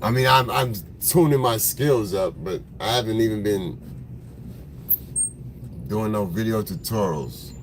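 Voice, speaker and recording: A man's voice: lowish voice, measured pace, pauses often. A man with a smoker's voice, chatting casually with viewers on a livestream from his car in traffic. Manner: laid-back, rambling, slangy, greets viewers by name. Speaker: photographer hosting a livestream. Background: faint vehicle noise.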